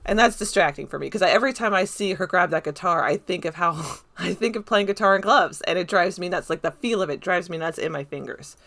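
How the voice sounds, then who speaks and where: A woman's voice: fairly low pitch, quick pace, gravelly, hardly any pauses, fairly bright tone. A woman giving casual, unscripted film commentary in a rambling, enthusiastic, conversational way. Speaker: video essayist reviewing a film.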